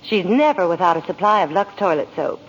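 A woman's voice: fairly low pitch, animated, a little fast, husky. A woman doing scripted 1940s radio advertising dialogue as a spokeswoman. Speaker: commercial spokeswoman. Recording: dull, echoey old radio recording.

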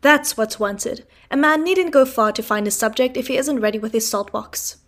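A woman voicing a pompous, loud middle-aged uncle who blusters with self-importance. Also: on the high side, moderate pace, close to an everyday voice.

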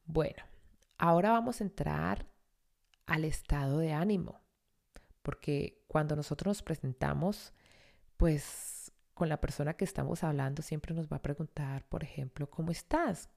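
Slow, low woman teaching German. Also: vocal fry, darkish tone. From Colombia. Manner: repeats phrases slowly for learners. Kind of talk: language lesson podcast.

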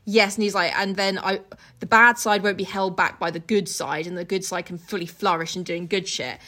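Female podcast co-host, mid-pitched, reads aloud and comments irreverently, sweary and mocking. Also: steady tone, moderate pace, bright, forceful tone. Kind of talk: casual book podcast, reading aloud with joking commentary.

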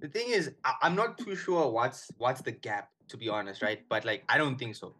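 A man, co-hosting a podcast in relaxed, slangy, casual chat. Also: mid-range voice, normal speed, breathy edge. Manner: laid-back, slangy, keeps saying "dog" and "one hundred". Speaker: podcast co-host. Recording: music playing faintly underneath.

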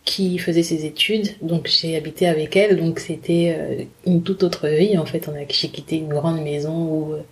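A woman's voice: low-pitched, steady tone, moderate pace, hardly any pauses. A woman in her thirties from Congo, talking casually as an interview guest, with many fillers and restarts.

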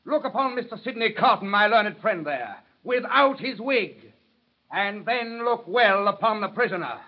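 Shrewd male barrister, high-pitched, insistent, formal, muffled vintage radio sound. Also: expressive, normal speed. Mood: confident, dramatic. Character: pressing, shrewd male defence counsel. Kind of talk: old-time radio drama acting. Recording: dull, lo-fi vintage radio recording.

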